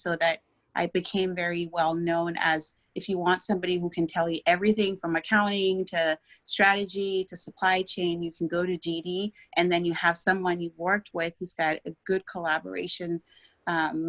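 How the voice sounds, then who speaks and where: A woman from Ghana speaks on a panel about her working life. Her voice sits a little low and stays very level in pitch. She comes across as direct. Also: fairly bright tone, low-quality recording. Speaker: panelist, operations and strategy leader. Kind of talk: panel discussion, sharing career advice.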